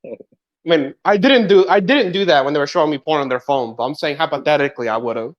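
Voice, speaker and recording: A man's voice: fairly high pitch, fast, lo-fi sound. Teasing young man with a sore throat. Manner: teasing, laughs often. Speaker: podcast co-host. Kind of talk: casual chat.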